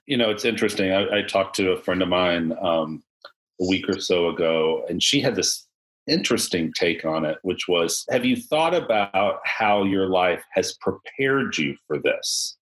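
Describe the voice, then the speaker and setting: A man in his fifties hosting a video-call chat, speaking reflectively with frequent pauses and questions to the group. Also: deep voice, expressive, fairly bright tone, rough recording. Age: 50s. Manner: reflective, asks the group questions, many ums. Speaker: podcast host.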